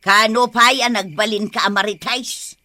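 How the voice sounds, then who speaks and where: A woman's voice: on the high side, steady tone, unhurried, pushed and bright, dry recording. A woman playing a blunt, questioning village woman in an Ilocano comedy audio drama, speaking with an Ilocano Filipino accent. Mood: teasing. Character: sharp-tongued village woman, questioning and practical.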